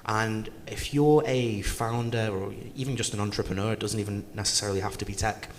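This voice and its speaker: A man's voice: fairly low pitch, long reverb tail, a little hiss or hum. A man with a toned-down Liverpool accent, speaking as a panelist and sharing personal experience in a candid, anecdotal way. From northwest England. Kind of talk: conference panel discussion.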